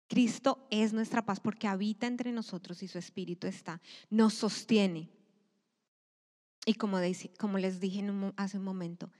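A woman preaching a sermon to a church congregation. She speaks emphatically, swelling loud and then dropping to a near-whisper. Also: mid-range voice. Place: church sanctuary. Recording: long hall reverb.

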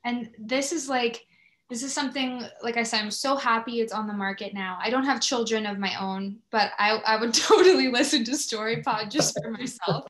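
A woman's voice: fairly high pitch, normal speed, a bit bright. A woman hosting a podcast, chatting in an appreciative, effusive way as she interviews a guest. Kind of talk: conversational interview.

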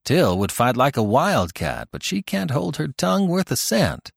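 A man's voice: medium pitch, very animated, normal speed, creaky, slightly rough, dry recording, studio quality, voice pushed up, exaggerated voice. A man voicing a cautious farm boy who teases his sister bluntly. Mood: teasing.

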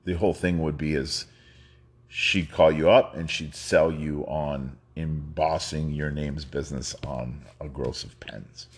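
Very deep-voiced husky man. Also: fairly even intonation, measured pace. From New York, United States. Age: 50s. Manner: rambling, laughs often, many fillers and restarts. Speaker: guest. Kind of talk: casual conversational storytelling.

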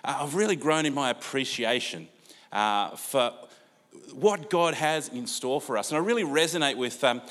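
A man's voice: mid-range voice, animated, moderate pace, fairly bright tone. Older Australian man preaching casually. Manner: casual, conversational, many fillers. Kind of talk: sermon. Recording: long hall reverb.

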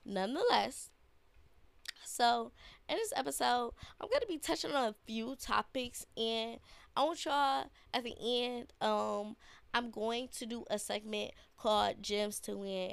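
Slow, congested young woman with a gravelly voice. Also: on the high side, dry recording. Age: young adult. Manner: slow, laid-back slangy talk with fillers. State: congested from a sinus infection. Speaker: podcast host. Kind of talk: casual solo podcast talk.

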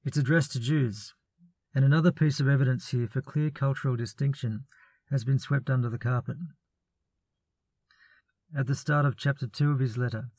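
A man calmly talking through questions about the authorship of ancient letters, in a measured, reasoned way with a lightly wry touch. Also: mid-range voice, dry recording. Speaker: biblical studies presenter. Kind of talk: measured scripted commentary.

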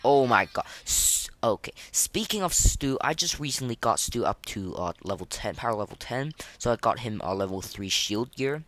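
A podcast host chatting casually and rating things, rambling and halting, often repeating words and phrases. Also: mid-range voice, lively intonation, a bit bright. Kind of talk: casual chat rating game voice lines.

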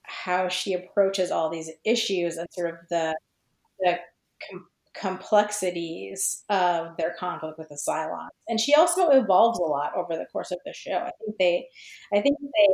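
A woman's voice: on the low side, normal speed, creaky, darkish tone. Hesitant woman guest in an echoey room. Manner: hesitant, searching for words, many "like"s. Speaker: podcast guest, fan fiction writer. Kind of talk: casual, reflective chat about own writing. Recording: roomy, echoey sound.

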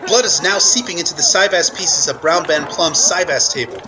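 A man's voice: a little high, brisk, pitched above the performer's own voice, natural voice, rough recording. A man playing an urgent field correspondent reporting live from a battle in a radio news play. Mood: tense, alarmed. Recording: loud battle crowd noise behind. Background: battlefield crowd effects.

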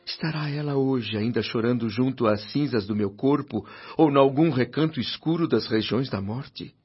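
An actor playing a grieving, self-pitying middle-aged doctor in a radio drama, lamenting and pleading. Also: male voice, medium pitch, normal speed, slightly rough, close to an everyday voice. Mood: anguished. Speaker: André Luís. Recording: dull radio drama audio with faint music.

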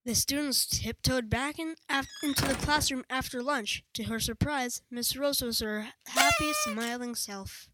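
A woman's voice: high voice, big pitch swings, unhurried, few pauses, noisy background. A female narrator reading a scripted story for school readers' theatre.

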